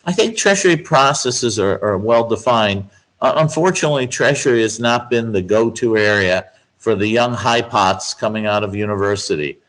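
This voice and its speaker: A low-pitched male guest speaks as a finance expert in an interview. He explains things in a measured, emphatic way, building his points step by step. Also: a bit bright, rough recording. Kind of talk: interview answers, explanatory business talk.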